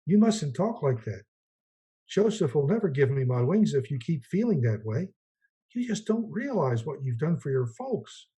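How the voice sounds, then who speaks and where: A man playing a husky, gentle apprentice angel. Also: fairly high pitch, big pitch swings, moderate pace, full character voice. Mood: earnest, coaxing. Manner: earnest, quaint and polite. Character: gentle, eager, naive male apprentice guardian angel. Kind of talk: 1940s-style radio play acting.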